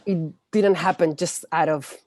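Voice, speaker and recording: A woman in her forties with a Chilean accent speaks in a fairly low register. The sound is dry, with little room in it. She explains things conversationally as an interview guest, halting now and then to search for words. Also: fairly even intonation, darkish tone, dry recording. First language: Spanish. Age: 40s. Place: indoors, room with bookshelves, on a video call. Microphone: wired earphones on a video call.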